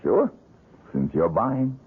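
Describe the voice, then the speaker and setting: A world-weary, drinking painter in a seventies radio drama. His voice is low and extremely husky, his replies short, bitter and sardonic. The old broadcast sounds thin and telephone-like. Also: wildly swooping pitch, slow, exaggerated voice. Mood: weary, guarded. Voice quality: very husky, rough. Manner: terse, guarded, sardonic. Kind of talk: 1970s radio drama acting. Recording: phone-like narrowband old radio recording.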